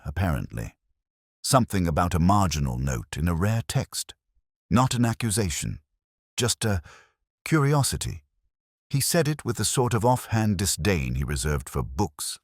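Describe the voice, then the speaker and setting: A man narrating a first-person detective mystery in a measured, reflective manner, close to the microphone. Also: sits low, breathy edge, dry recording.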